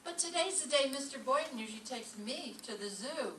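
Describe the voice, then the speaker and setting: A sharp-tongued woman schoolteacher, acted by a woman tosses off dry, sarcastic lines in old-time radio comedy style. Her voice is bright and a bit high. It is picked up from afar in an echoey room. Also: expressive, a little fast. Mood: jealous, sardonic. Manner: dry, sarcastic delivery of quips. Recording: distant roomy stage pickup, faint noise.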